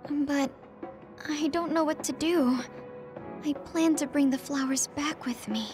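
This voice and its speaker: A woman playing a gentle, earnest but stubborn young female soldier in an anime-style video game, speaking in short, halting sentences. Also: sits high, steady tone, over music. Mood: downcast.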